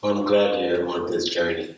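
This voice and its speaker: Deep-voiced male podcast host. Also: little pitch movement, moderate pace. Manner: supportive, gently probing. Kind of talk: interview. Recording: echoey room, far from mic, faint noise.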